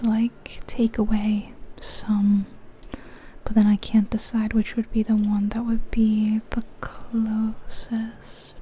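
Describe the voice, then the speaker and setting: Woman, whispered ASMR game commentary, slow and even, faint noise behind, musing to herself. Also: on the high side. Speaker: ASMR creator.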